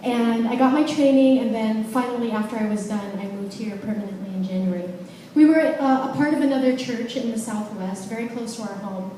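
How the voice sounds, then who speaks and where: A woman's voice: fairly high pitch, brisk, mellow, slight background noise. Chatty woman speaking in an echoey church hall. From Philippines. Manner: chatty, laughing, self-deprecating. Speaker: guest speaker. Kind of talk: personal testimony. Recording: echoey hall, distant mic.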